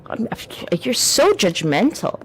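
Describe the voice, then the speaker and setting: A woman's voice: on the high side, wildly swooping pitch, slow, slight background noise. A woman streamer talking casually and rambling in a self-deprecating way while she plays. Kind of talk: casual chat while gaming.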